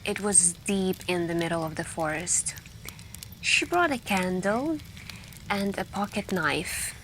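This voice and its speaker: Slow, soft-spoken woman telling spooky stories. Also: fairly low pitch. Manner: hushed and intimate, drifting into whispers, with pauses. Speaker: ASMR roleplay performer playing a friend telling campfire stories. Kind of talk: soft-spoken ASMR scary storytelling. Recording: steady background ambience noise. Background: crackling campfire and night ambience.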